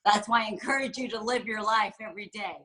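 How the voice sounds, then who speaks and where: Bright, candid woman guest. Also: medium pitch, steady tone, quick pace. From San Francisco, USA. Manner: candid and reflective, with frequent fillers. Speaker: guest executive and entrepreneur. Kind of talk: conversational interview.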